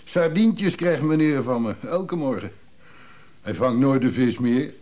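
An actor playing a gruff, sly old craftsman in a care home in a Dutch radio play, blunt and grumbling with a chuckle. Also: mid-range voice, lively intonation, measured pace, darkish tone, close to an everyday voice. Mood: grumbling, fond. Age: older.

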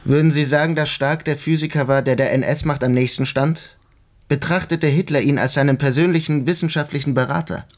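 A man's voice: on the high side, steady tone, brisk, lightly acted. An actor playing a probing, matter-of-fact male investigator in a radio drama, inquisitive and businesslike in his way of talking. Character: brisk, probing male investigator questioning scientists.

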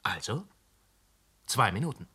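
An actor playing a sharp, sarcastic police inspector who presses hard in a radio drama. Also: male voice, on the low side, sing-song, a little slow, breathy edge, exaggerated voice. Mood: pressing. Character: aggressive, blunt male police inspector. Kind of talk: German radio drama acting.

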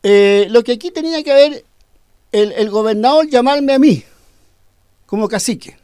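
A high-pitched man speaks firmly as a cacique under questioning, repeating words and stumbling as he builds his point. The recording is dry and close, with no room sound. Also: normal speed, darkish tone, smooth, no echo. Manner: firm, repetitive, stumbling over words. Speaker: Mapuche cacique being interviewed. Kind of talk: radio interview answers.

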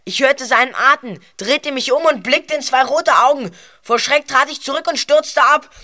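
A woman playing a frightened but quick-witted boy detective in a children's audio drama. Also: sits high, bright, forceful tone. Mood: shaken.

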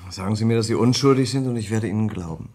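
An actor playing an earnest, gallant gentleman who narrates and investigates in a German radio crime drama, with a formal, polite manner. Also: lowish voice, fast talker. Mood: earnest, pressing.

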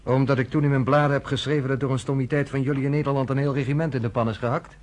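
An actor playing a sardonic, self-assured journalist in a Dutch radio drama, with a dry, conversational, probing manner. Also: male voice, medium pitch, fairly even intonation, very fast, darkish tone, close to an everyday voice. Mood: sardonic, defiant.

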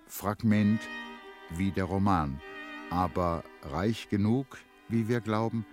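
A man narrating a literary radio play from a script in a measured, solemn manner. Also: on the low side, a little mellow, faint music behind.

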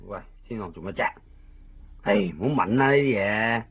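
An actor playing a gruff, worldly fixer who looks after a friend in a radio drama. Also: male voice, on the low side, moderate pace. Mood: brisk, instructing. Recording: dull 1990s radio recording, faint noise.

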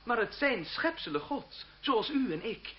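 Earnest young seminarian, high-pitched, indignant. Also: male voice, big pitch swings, a little slow, heavily acted. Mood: righteous indignation. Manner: earnest, formal, declamatory. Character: idealistic, indignant young seminarian, proud and righteous. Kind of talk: Dutch radio drama acting. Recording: muffled old radio recording with background noise.

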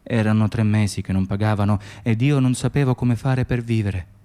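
An actor playing a weary, dutiful Moroccan immigrant hospital worker in a radio drama, speaking in a plain, insistent monologue. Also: male voice, on the low side, fairly even intonation, normal speed, dark tone, smooth, close to an everyday voice. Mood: grave testifying. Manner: clipped inner monologue and plain testimony.

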